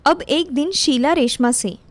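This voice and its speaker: A woman's voice: high voice, a little slow, no room sound. A woman reading a scripted moral story as its narrator.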